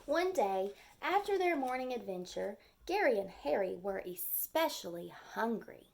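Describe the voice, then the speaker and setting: A woman with a fairly high voice and a touch of vocal fry leads storytime like a teacher. She takes her time, using simple words and clear phrases meant for small children. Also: big pitch swings, measured pace. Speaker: teacher reading to young children. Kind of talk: storytime lesson with read-aloud and simple explanations.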